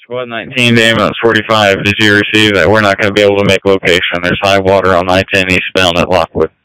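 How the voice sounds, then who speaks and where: A man's voice: lowish voice, level tone, fast, sharp tone, clear, resonant tone, no room sound, low-quality recording. A man working as an emergency responder, giving terse reports over two-way radio. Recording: fire department two-way radio traffic.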